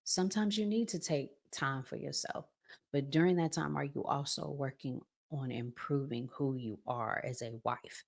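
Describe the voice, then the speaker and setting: A middle-aged woman giving conversational relationship advice as a vlog host, emphatic, repeating phrases and asking rhetorical questions. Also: extremely low pitch.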